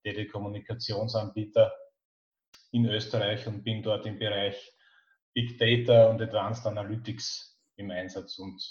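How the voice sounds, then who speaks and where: A man's voice: on the low side, flat delivery, normal speed. A man in his fifties with an Austrian accent, talking casually and thoughtfully as an interview guest. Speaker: podcast guest. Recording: dull, echoey remote-call sound.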